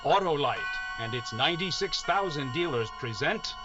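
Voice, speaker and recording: A man acting a formal, ominous announcer in 1950s radio style, muffled under loud music. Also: fairly high pitch, lively intonation, normal speed, natural voice. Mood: portentous.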